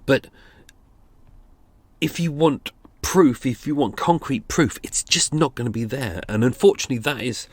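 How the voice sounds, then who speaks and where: A man's voice: mid-range voice, animated, moderate pace, no room sound. A man hosting a podcast, talking casually and rambling through restarts, nasal and sniffly from a cold. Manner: rambling, restarts and fillers, thinking aloud. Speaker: podcast host. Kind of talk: casual true-crime commentary.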